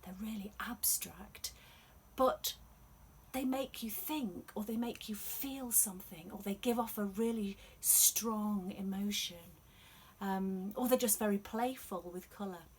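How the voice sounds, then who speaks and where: A woman telling stories to children, explaining things gently in an unhurried, expressive read-aloud way. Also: medium pitch, a little hiss or hum. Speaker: storyteller.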